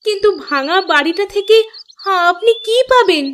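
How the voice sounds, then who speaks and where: A woman's voice: very high voice, animated, normal speed, slight background noise. A woman voicing a poor village mother in a cartoon, speaking in a plaintive, respectful and dramatic way. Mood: anxious. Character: poor, pleading village mother, abandoned wife. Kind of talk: Bengali cartoon voice acting. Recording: loud background music under the voice.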